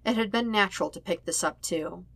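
A woman's voice: mid-range voice, fairly quick, vocal fry, fairly bright tone, no echo. A woman reading a story aloud as scripted narration. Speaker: podfic reader of fan fiction.

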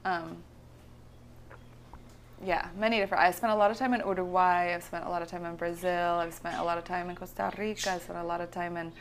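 Soft-pitched woman rambling with fillers. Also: fairly even intonation, a little fast, slight background noise. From California. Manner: rambling, many fillers and restarts. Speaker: visionary artist guest. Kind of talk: casual interview chat. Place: her home.

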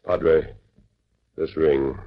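An actor playing a grave, commanding masked cowboy hero in an old-time radio western. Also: male voice, rumbling low, steady tone, extremely slow, slightly rough, full character voice. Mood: grave, concerned. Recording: muffled vintage radio recording.

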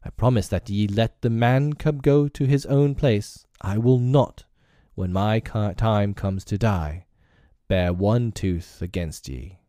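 A man doing a proud, worn-out old wolf who leads a pack, low and dark in tone, speaking slowly with grave formality. Heard dry and close, like a storybook read at the mic. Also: dry recording. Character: old grey male wolf pack leader, proud and weary. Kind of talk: storybook reading.

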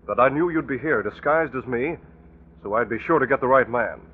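An actor playing a calm, commanding masked vigilante in a 1940s radio drama, on an old muffled recording. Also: male voice, medium pitch, brisk, slight background noise, lightly acted. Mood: firm, menacing.

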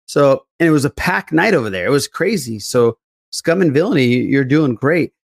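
Male podcast host, mid-pitched, loose rambling storyteller in casual group banter. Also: animated, moderate pace, vocal fry, breathy edge.

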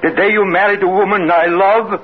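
Melodramatic, high-voiced jealous Spanish barber. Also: male voice, steady tone, brisk. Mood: seething jealousy. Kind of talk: 1950s radio comedy acting. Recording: muffled noisy radio recording, loud studio audience.

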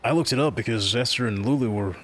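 An actor playing a calm, fair-minded middle-aged man who speaks in a measured, reasonable way. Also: medium pitch, natural voice. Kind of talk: audio drama acting.

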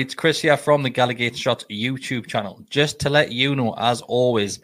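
A man reading a short sponsor and network announcement in a brisk, plain way. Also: medium pitch, a bit bright. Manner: brisk, plain, script-read delivery. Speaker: podcast host. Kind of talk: read-out channel announcement.

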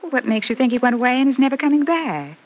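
An actress playing an emotional, sharp-tongued mother with a melodramatic, halting delivery in an old-time radio serial. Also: high voice, very animated, rough recording. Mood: alarmed. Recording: old radio broadcast, faint hiss.

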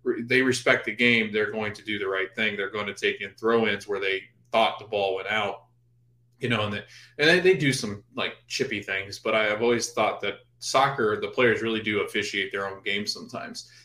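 A man talking through soccer calls on a podcast, looping back and restarting his thoughts as he goes. His voice sits fairly low, and the room around him rings with a noticeable echo. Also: normal speed, bright, forceful tone. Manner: rambling, full of fillers and restarts. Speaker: podcast co-host. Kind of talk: casual sports chat. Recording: roomy echo.

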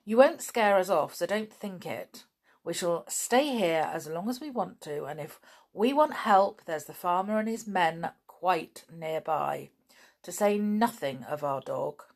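A woman's voice: lowish voice, lively intonation, unhurried. A woman voicing a proper teenage boy who speaks scornfully and tries to sound grown up.

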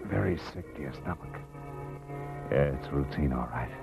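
A man's voice: a bass voice, lively intonation, moderate pace, gravelly, darkish tone, full character voice. A man with an American accent playing a tough, weary, wisecracking private detective in a mid-century radio noir drama. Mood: world-weary. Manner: wry, clipped, hardboiled. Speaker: lead actor and narrator. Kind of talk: 1940s-50s radio noir acting, hardboiled narration. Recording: old muffled radio recording with music behind.

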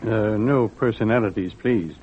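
Casting: genial, deliberate judge, husky male voice, old radio drama, thin narrow-band recording. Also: on the low side. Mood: measured, grave. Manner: genial, measured, hemming. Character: kindly, avuncular, deliberate male court judge. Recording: tinny, muffled vintage radio recording.

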